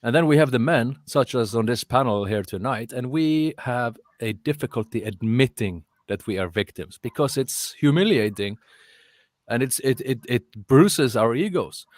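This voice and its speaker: Norwegian accent guy ranting on a panel chat, mid pitch, sweary, repeats himself for emphasis, very dry audio. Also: lively intonation, moderate pace, no echo. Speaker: panellist in an online discussion. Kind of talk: casual political ranting.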